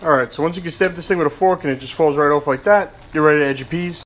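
A man's voice: on the high side, quick pace, a little hiss or hum. A young man casually narrating a home cooking video in his kitchen, joking and brash with streetwise slang. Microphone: phone camera held close.

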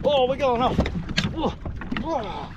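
A man in his thirties with an Australian accent, giving excited commentary while fishing from a kayak, filmed by a camera on the boat. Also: very high-pitched, slow pace, highs cut off. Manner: enthusiastic, exclaims often. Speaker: fishing vlogger. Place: kayak on open water outdoors. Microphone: action camera on the boat. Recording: loud wind and water noise. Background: water and wind.

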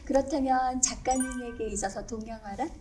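A woman's voice: fairly high pitch, fairly even intonation, brisk, dark tone, far from the mic, a little hiss or hum. A woman hosting a broadcast interview in a formal, courteous style. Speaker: interview host.

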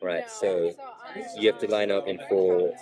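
Dry, deadpan young man in a crowd. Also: sits low, unhurried. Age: young adult. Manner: dry, mock-serious, jokey. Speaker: fellow graduate. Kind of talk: casual chat. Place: inside a crowded coach bus. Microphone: friend's camera close by. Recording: chatter of a crowd behind.

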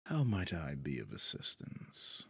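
A suave, possessive devil, played by a man for roleplay audio, speaks in a deep, breathy voice right up on a dry microphone. He takes his time, drawing out phrases with pompous, theatrical condescension and sinking often into near-whispers. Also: wildly swooping pitch, measured pace, bright, forceful tone, dry recording, exaggerated voice. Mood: smug welcome. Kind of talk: roleplay audio drama, ASMR-like monologue. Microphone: close, dry studio microphone.

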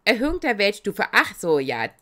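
Female game streamer with a cold, slightly raspy, bright, lively, chatty and giggly. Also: mid-range voice, normal speed. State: has a cold. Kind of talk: casual let's-play commentary.

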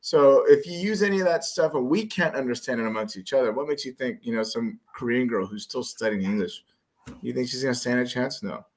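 A man's voice: medium pitch, a little fast. Blunt, swearing man on a headset. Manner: blunt, swears, acts out short texting examples. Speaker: guest. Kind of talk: casual chat on a video call.

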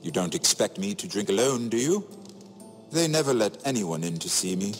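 An actor playing a wry, shrewd middle-aged imprisoned nobleman in a video game, sardonic and theatrical, phrasing each remark deliberately. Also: lowish voice, very animated. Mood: wry, probing. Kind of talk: dramatic video game dialogue. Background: music.

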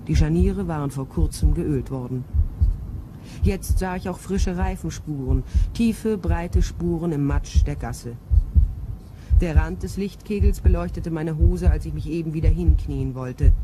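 A woman's voice: on the low side, background noise, lightly acted. An actress playing a tough female private detective, narrating a radio crime drama in terse, matter-of-fact sentences. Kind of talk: first-person radio play narration.

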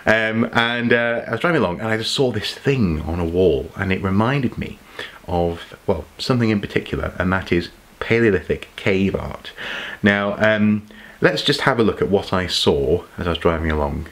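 A deep-voiced man, chatting at home in front of a desk camera. He muses aloud about archaeology in a relaxed, unscripted way, stumbling over repeated words and filling pauses with ums. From England. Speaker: archaeology YouTuber. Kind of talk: impromptu casual vlog explainer. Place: home study with shelves of collectibles.

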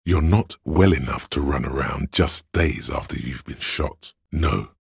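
A detective's inner voice of pure logic for a video game. It is exceptionally low and heavily husky, reasoning coolly and deliberately. The sound is dry and close, with every deduction laid out in a measured, analytical way. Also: male voice, no room sound, heavily acted. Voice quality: very deep, gravelly, husky. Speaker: voice actor. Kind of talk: scripted video game voice-over, inner-voice narration.

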